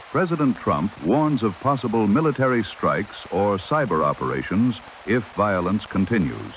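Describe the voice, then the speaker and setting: A man working as a news announcer, reading a scripted newscast in a formal, dramatic 1930s radio style. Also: fairly low pitch. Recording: dull vintage radio sound, faint noise.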